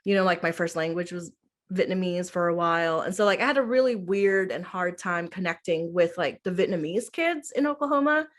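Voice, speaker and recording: A woman's voice: fairly low pitch, fairly quick. A young adult woman from the United States, a middle school teacher answering interview questions in a casual, chatty way full of "like" and "um". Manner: chatty, heavy use of "like" and "um".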